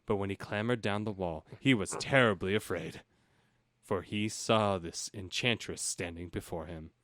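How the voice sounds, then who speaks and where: A man's voice: deep voice, normal speed, fairly bright tone, no echo. A man reading a storybook as a comedy host, breaking off for casual, sarcastic asides. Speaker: host and narrator of parody audiobook show.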